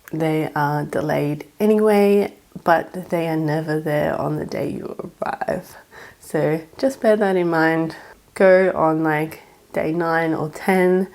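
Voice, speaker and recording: Raspy-voiced Australian woman vlogger. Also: lowish voice, darkish tone. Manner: chatty, practical step-by-step tips. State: raspy voice from a cold. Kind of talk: casual advice vlog.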